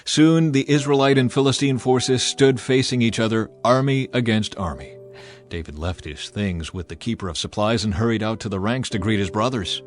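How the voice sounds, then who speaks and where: A man narrating scripture in a measured, dramatic reading. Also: lowish voice. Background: faint music.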